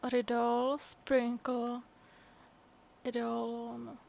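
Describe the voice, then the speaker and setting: A woman's voice: fairly high pitch, completely flat delivery, very slow, vocal fry, darkish tone, slight background noise. A woman streaming a game, drawling and amused as she muses aloud. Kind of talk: casual commentary over a game.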